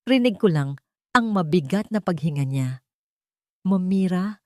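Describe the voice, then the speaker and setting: A woman's voice: deep voice, expressive, normal speed, no echo, clean studio sound. A woman narrating a dramatic story in the first person, emotional and tense, with quoted dialogue.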